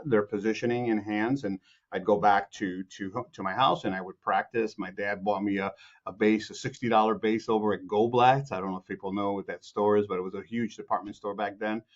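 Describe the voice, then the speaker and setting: A man's voice: lowish voice, dull audio. A man from Chicago, a composer guest, answering interview questions in a rambling way with many restarts.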